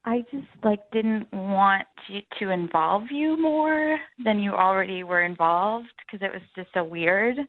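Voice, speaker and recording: A woman's voice: mid-range voice, animated, unhurried, few pauses. Young woman on a thin phone line. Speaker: phone-in guest on a radio dating segment. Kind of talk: casual phone conversation, explaining herself. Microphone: phone call.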